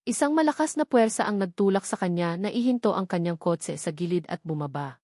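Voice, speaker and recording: A woman reading a scripted romantic story in a measured, dramatic way. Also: on the low side, lively intonation, a little mellow, dry recording, clean studio sound. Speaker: narrator.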